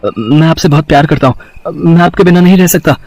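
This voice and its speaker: A man's voice: fairly high pitch, fast, a little mellow, no room sound. A man acting a lovestruck young male student in a dramatized story, earnest, hesitant and pleading.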